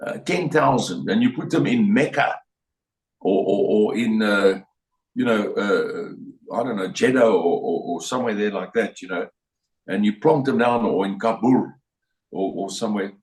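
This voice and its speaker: A man's voice: on the low side, moderate pace, a little mellow, recorded from a distance. An older South African man talks bluntly in an interview and loops back over his points with plenty of restarts. His voice is a little off the mic and the room rings around it. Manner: rambling, repetitive, blunt, with many restarts. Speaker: interview guest. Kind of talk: opinionated interview talk. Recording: roomy sound, voice a bit off-mic.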